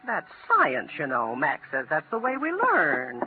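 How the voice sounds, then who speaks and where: Fussy old american country auntie, really animated, tinny old radio recording. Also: female voice, sits low, a little slow, full character voice. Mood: stubborn, dismissive. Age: older. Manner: folksy, chattering. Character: folksy, fussing old country aunt. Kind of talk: 1940s radio drama acting. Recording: muffled, noisy 1940s radio recording.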